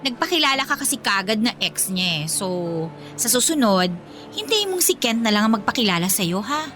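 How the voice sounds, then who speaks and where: A woman's voice: fairly high pitch, big pitch swings, a little fast, pushed and bright, faint music behind. A woman playing a possessive, snooty young girlfriend, mock-polite and catty, with a Filipino accent in a radio drama.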